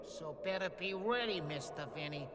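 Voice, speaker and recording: An actor playing a gloating, crazed gangster mastermind, theatrical and mock-courteous, in a video game cutscene. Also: male voice, normal speed, close to an everyday voice. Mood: menacing. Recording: long hall reverb, loud rumbling noise.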